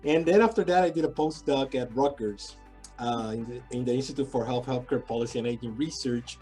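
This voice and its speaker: A man's voice: mid-range voice, a little fast, mellow, soft background music. A middle-aged man from Puerto Rico, a sociology professor talking as a guest in a casual interview, thoughtful and hesitant with many restarts.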